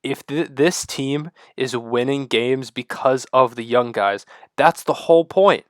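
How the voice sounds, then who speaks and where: A man with a stuffy, congested voice and low energy, rambling excitedly to the camera at home. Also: measured pace, no echo, clean studio sound. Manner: loose, rambling, excited fan talk with many fillers. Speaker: sports vlogger. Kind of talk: casual rambling talk to camera.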